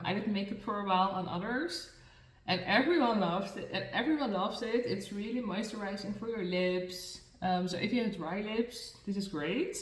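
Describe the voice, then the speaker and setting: Slow, hesitant Dutch-accented young woman. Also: mid-range voice. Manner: self-conscious, hesitant, many fillers and restarts. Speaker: beauty and mental health vlogger. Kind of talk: casual talk to camera while doing makeup. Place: room in a new home, indoors. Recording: echoey room, mic far from the speaker.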